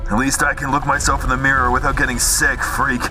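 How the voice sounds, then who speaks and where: A man's voice: mid-range voice, flat delivery, fast talker, over loud music, close to an everyday voice, low-quality recording. A man playing a gritty, cocky squad-leading soldier in a sci-fi action drama, terse and wry. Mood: defiant. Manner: terse, wry military delivery.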